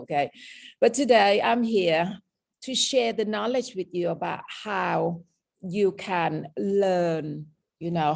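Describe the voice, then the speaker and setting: Woman teaching meditation, thai-british accent, bit of fry in the voice, keeps asking okay?. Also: on the low side, darkish tone. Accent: Thai and British mix. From Thailand. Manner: explaining with frequent "okay?" checks. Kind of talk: lecture on meditation.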